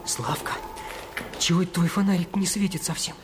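An actor playing a bold, bossy boy who leads his friends, in radio play style with urgent, hushed delivery. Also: mid-range voice, steady tone, fairly quick, noisy background, lightly acted. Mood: tense, hushed.

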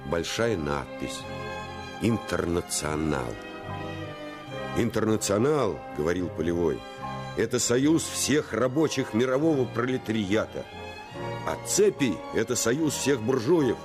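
A man narrating a Russian radio play as someone recalling his boyhood, in a measured, solemn and wistful manner. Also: fairly low pitch, sing-song. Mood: solemn, nostalgic. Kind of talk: scripted radio drama narration. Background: music.

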